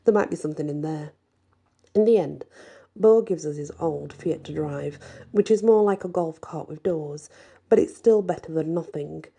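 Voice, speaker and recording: Husky, creaky low-voiced woman reading a story. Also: moderate pace, mellow. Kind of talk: scripted narration, ASMR story reading.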